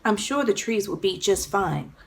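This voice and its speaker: A woman's voice: mid-range voice, lively intonation, moderate pace, vocal fry. A woman voicing a protective, anxious mother of young girls in a children's storybook. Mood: reassuring.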